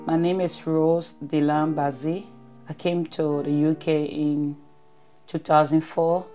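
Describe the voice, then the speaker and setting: A woman's voice: low-pitched, flat delivery, slow pace, dark tone, soft background music. A woman giving earnest interview testimony, emphatic and repeating phrases for force. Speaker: interviewee, former refugee.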